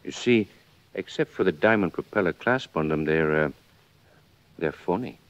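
An actor playing a hesitant, insistent police lieutenant in a 1940s radio drama. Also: male voice, low voice, lively intonation, moderate pace. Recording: dull old 1940s radio recording.

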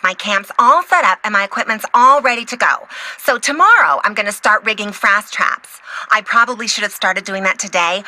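A woman voicing an eager, quirky, chatty young insect scientist in a video game, excitable and easily sidetracked. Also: fairly high pitch, exaggerated voice, low-quality recording. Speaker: game character, field entomologist. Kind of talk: animated video game voice acting.

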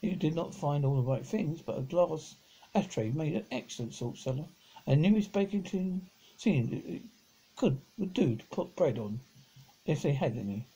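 A middle-aged man reads a children's novel aloud in a raspy, mellow voice. His pitch sits a little high and swings about freely. The delivery is halting, with stumbled and repeated words. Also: animated, low-quality recording. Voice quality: husky, gravelly. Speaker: amateur audiobook reader. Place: living room at home, on a sofa.

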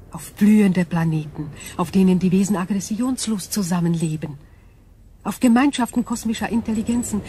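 A woman playing an ancient alien cosmic intelligence in a science fiction radio drama, calm, analytical and formal, with a measured, detached delivery. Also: on the low side, a little hiss or hum. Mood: solemn, wistful.

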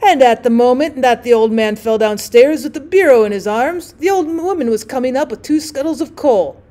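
A woman voicing a jocular traveling salesman who grows anxious, talking loudly and high. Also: sing-song. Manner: chatty, quick to grow anxious.

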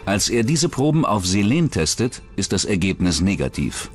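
Deep-voiced, animated male documentary narrator. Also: normal speed, faint music behind. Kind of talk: scripted true-crime narration.

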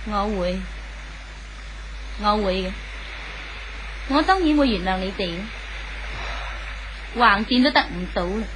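A woman's voice: mid-range voice, lively intonation, slow, long pauses. A woman playing a jealous, despairing spurned wife in a 1970s radio drama, impassioned and brittle, with a Hong Kong Cantonese accent. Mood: wounded, jealous. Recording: faint hiss on old radio recording.

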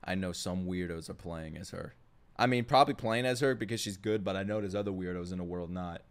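A man's voice: sits low, a little fast. A man streaming a casual live reaction, slangy, sweary and exclamatory. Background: game trailer music.

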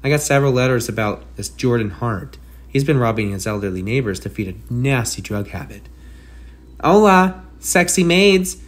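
A man's voice: mid-range voice, animated, normal speed, natural voice. A man playing a brash, sarcastic masked antihero with a kind streak, in a theatrical comic book dub. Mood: playful, cocky.

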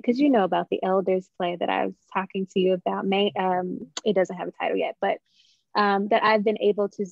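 A young woman, a playwright, answering thoughtfully in an interview on a video call, with measured pauses and dull audio. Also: mid-range voice, vocal fry, no room sound. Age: young adult. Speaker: playwright guest.

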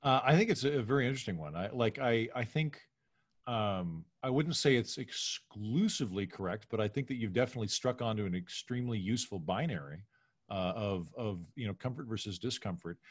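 A man's voice: on the low side, animated, brisk, dry recording, studio quality. A middle-aged man speaking casually as a podcast guest, in a discursive, analytical way. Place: small furnished room at home, video call.

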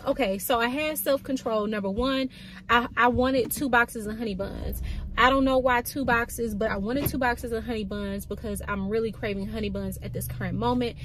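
A woman in her thirties vlogging from the driver's seat, her voice sitting slightly high as she chats away to viewers. She is animated and folksy, full of asides. The phone at arm's length picks up plenty of car and road noise. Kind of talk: casual vlog chat to camera. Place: parked car, then home room. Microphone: phone camera at arm's length.

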